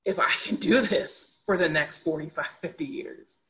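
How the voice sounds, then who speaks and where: A woman's voice: sits low, normal speed. A young adult woman speaking candidly as a podcast guest, earnest and reflective, repeating words for emphasis. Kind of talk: candid conversational storytelling.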